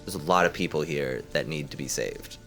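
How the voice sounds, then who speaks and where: A man's voice: rumbling low, brisk, a bit bright, soft background music. A man chatting casually as a player in a tabletop game, thinking aloud about his character. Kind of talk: casual chat.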